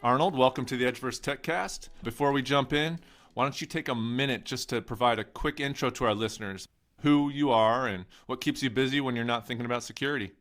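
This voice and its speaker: A man's voice: medium pitch, fairly quick, a bit bright. A man co-hosting a tech podcast, upbeat and friendly as he introduces and questions a guest. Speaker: podcast co-host.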